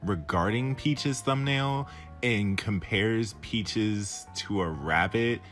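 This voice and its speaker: A man's voice: lowish voice, slow, fairly bright tone, faint music behind. A man giving scripted response commentary in a critical, explanatory manner. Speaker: video commentator and editor.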